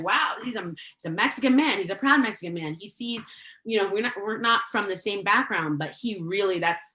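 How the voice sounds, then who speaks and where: Woman from El Salvador, roomy echo. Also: lowish voice. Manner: animated, quick laughs, many "like" fillers. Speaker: guest, former education official. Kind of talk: casual podcast conversation.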